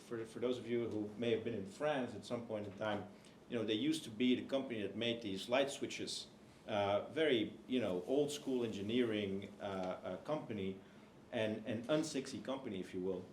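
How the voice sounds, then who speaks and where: A man's voice: on the low side, normal speed. A man speaking as a co-author on a panel, hesitant, with many fillers and restarts. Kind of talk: panel discussion. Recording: echoey hall, faint noise.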